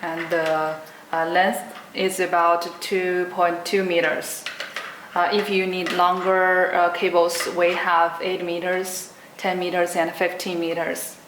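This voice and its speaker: A young woman giving an unscripted product demonstration in short, simple, halting phrases. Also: fairly low pitch, little pitch movement. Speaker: product demonstrator for an electronics brand. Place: indoor room at a table with green backdrop. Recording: roomy echo, clearly noisy background.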